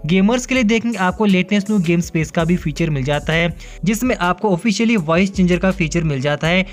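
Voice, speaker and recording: A man's voice: sits high, brisk, soft background music. Upbeat male Hindi tech reviewer. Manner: upbeat, repeats filler phrases, mixes English words into Hindi. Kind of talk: phone feature walkthrough. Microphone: off-camera voice-over over hands-on phone footage.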